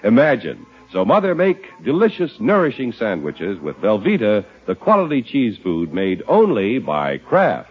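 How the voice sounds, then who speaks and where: A man's voice: on the low side, wildly swooping pitch, normal speed, hardly any pauses, over music. A man announcing in a polished, emphatic 1950s radio style, reading scripted credits and sponsor copy. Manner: polished, emphatic salesman delivery. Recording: muffled 1950s radio broadcast recording.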